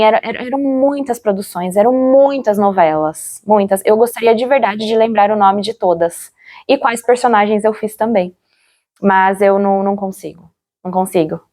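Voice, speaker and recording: A young woman with a Brazilian accent talking casually and reflectively in an interview, chatty, often repeating words for emphasis. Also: medium pitch. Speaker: former radio drama actress, interviewee.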